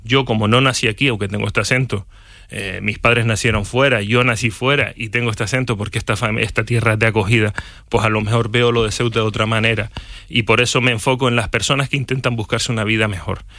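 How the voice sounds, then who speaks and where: A man's voice: fairly low pitch, steady tone, fast talker, sharp tone, no echo, clean studio sound. A man, arguing his points as a panelist in a televised political debate. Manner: argumentative, reasoned, with self-corrections.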